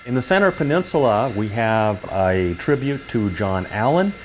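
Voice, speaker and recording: Low-voiced older man giving a hobby tour. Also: sing-song, normal speed, a little hiss or hum, poor audio. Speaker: model railroader giving a layout tour. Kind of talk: informal explanatory tour narration.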